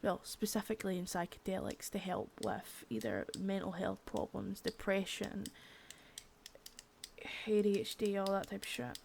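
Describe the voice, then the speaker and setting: A young woman doing an ASMR roleplay as a cold, contemptuous female boss of a drug ring, menacing and swearing, soft-spoken with bits of whisper. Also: mid-range voice, moderate pace, creaky, a little hiss or hum, close to an everyday voice. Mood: cold contempt. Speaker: ASMR creator.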